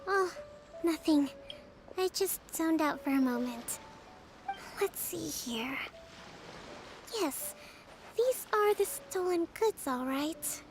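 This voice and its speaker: A woman voicing a calm young female game strategist who speaks in a measured, thoughtful way. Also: very high-pitched, a little hiss or hum, exaggerated voice.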